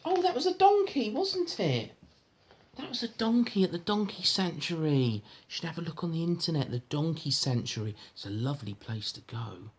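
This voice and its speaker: A man's voice: fairly high pitch, sing-song, normal speed. A man reading a storybook aloud to a child, chatting in a coaxing way with frequent little questions. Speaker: parent reading a bedtime-style story to a child.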